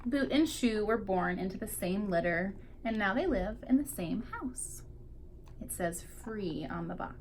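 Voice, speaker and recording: A woman's voice: mid-range voice, animated, unhurried, a little hiss or hum. A woman teacher reading a picture book aloud expressively to young children, drawing out key words for emphasis.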